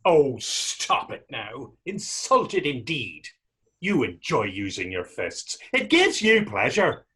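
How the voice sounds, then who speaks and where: A man playing a taunting, defiant Irishman in a readers theater drama, with a husky voice, an Irish accent and a mocking, wordy old-fashioned way of talking. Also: medium pitch, wildly swooping pitch, slow, a bit bright, full character voice. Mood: scornful, defiant. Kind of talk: readers theater stage acting.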